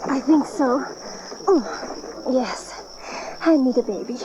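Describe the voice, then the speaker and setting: Compassionate young mother: high voice, anxious and emotional, biblical cassette drama with crowd behind. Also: animated, takes it slowly, rough recording. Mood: gentle, anxious. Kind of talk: dramatized Bible audio drama.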